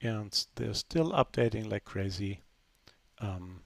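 A man narrating a screen-recorded software demo in a relaxed, unscripted way, full of fillers and restarts. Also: lowish voice, takes it slowly, gravelly, no room sound. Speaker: software developer giving a demo.

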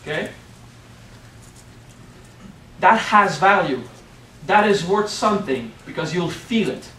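A man's voice: high-pitched, animated, moderate pace, pushed and bright, slight background noise. A middle-aged man with a French accent, a spiritual teacher giving a playful workshop talk and often asking "okay?". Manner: playful, keeps checking in with "okay?". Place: hotel meeting room. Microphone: far camera. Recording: echoey room from far off.

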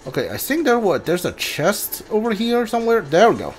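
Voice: mid-range voice, very animated, a little fast. A video game streamer giving casual playthrough commentary, thinking aloud and muttering reactions while playing. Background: game music.

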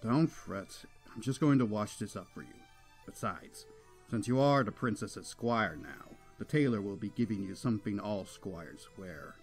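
A man's voice: fairly low pitch, moderate pace, soft background music, lightly acted, rough recording. A man voicing a stern, protective cartoon unicorn king who speaks in a formal, commanding way. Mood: formal, calmer. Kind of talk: fan cartoon voice acting.

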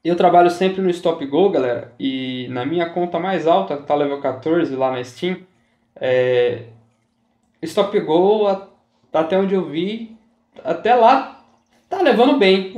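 A chatty man with a slightly high voice talks casually through his gameplay tips. He repeats himself and keeps checking in with his viewers. His voice carries a noticeable roomy echo. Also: expressive, unhurried, darkish tone, lo-fi sound. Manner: chatty, repeats himself, says "galera" and "tá?" often. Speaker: gaming YouTuber.

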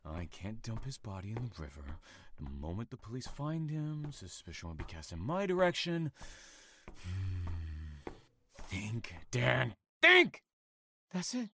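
A man playing a menacing, gloating serial killer in an audio drama, taunting and theatrical, moving between soft cruelty and explosive temper. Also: fairly low pitch, huge pitch swings, unhurried, a little hiss or hum, heavily acted. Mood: frantic, angry.

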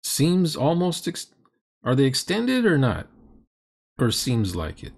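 A man's voice: medium pitch, huge pitch swings, slow pace, gravelly. Middle aged guy doing snarky blunt commentary, voice goes up and down a lot. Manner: sarcastic, blunt, mocking. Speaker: host reacting to a recorded roleplaying game. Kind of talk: critical reaction commentary.

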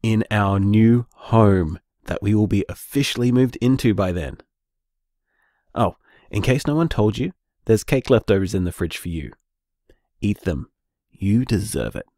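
A frank, caring young Australian man leaves wry messages for his partner in an audio drama. His lowish voice moves in lively swings. It is recorded close and dry. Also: measured pace, no echo. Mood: bored, affectionate.

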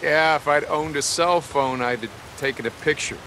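A man's voice: a little high, moderate pace, pushed and bright, a little hiss or hum. An American man playing an easygoing, teasing outlaw biker in his thirties in a video game, informal and profane. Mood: bantering. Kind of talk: naturalistic video game acting.

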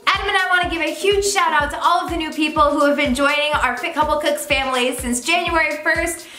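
Bright, high-voiced upbeat woman. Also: fast. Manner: upbeat, chatty. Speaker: cooking show co-host. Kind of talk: casual recipe instructions and channel promotion. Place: home kitchen. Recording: echoey kitchen with faint music.

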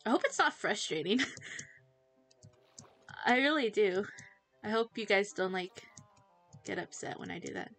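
A woman's voice: mid-range voice, lively intonation, fairly bright tone. Teen girl streamer, chatty and giggly, rambles while playing, desk mic, faint game music underneath. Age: teens. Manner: chatty, giggly, self-distracted, quick to laugh. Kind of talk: casual livestream chat while gaming.

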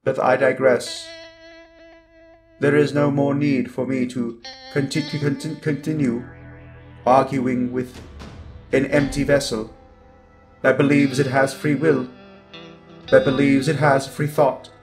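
A menacing robot overlord, acted out by a man, speaks slowly in a middle-pitched male voice. The delivery is cold, superior and deliberate, catching in glitchy stutters like a roleplay villain monologue. Music plays clearly behind the voice. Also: flat delivery, lightly acted. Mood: calm, superior.

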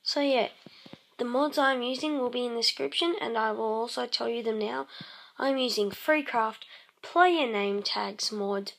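A woman casually narrating her game session, croaky and clearing her throat, rambling with many pauses and humming to herself. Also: on the high side, a bit bright. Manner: slow, rambling, many ums, hums tunes while playing. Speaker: let's play host. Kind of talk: casual gameplay commentary.

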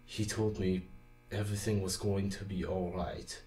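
A man's voice: sits low, steady tone, normal speed, mellow. A man playing a grave older grandfather, a doctor recounting disaster in an audio drama monologue. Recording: echoey, slightly distant, faint music.

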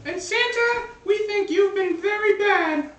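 A man's voice: very high voice, unhurried, fairly bright tone, far from the mic, muffled recording, pitched above the performer's own voice. A man voicing an indignant little gingerbread cookie in a puppet show, scolding in rhyme. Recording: noisy, echoey home camcorder from afar.

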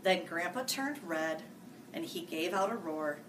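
A woman in her forties reading a picture book aloud to children in a sing-song, animated way, jumping to loud, high character lines. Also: a little slow. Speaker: school staff reading buddy. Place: small room, seated before a green-screen farm backdrop. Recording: distant mic with faint hiss.

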